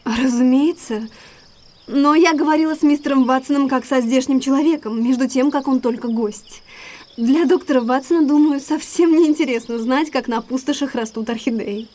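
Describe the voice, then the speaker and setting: A woman's voice: sits high, moderate pace, darkish tone, natural voice. An actress playing an elegant, anxious and secretive lady in an audio drama, with a refined, guarded manner. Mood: guarded politeness. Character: Beryl, elegant, anxious, secretive naturalist's sister.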